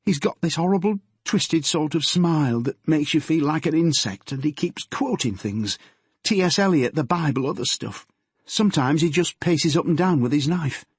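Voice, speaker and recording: A man putting on a frightened teenage girl whose voice rides well above his own, shaky and pleading. The tone stays husky and close, with a dry, deadened sound and no room around it. It suits an audiobook scene of a girl confiding in her father. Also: fairly high pitch, animated, moderate pace, no echo, voice pushed up. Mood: anxious. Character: frightened tearful teenage girl, a policeman's daughter.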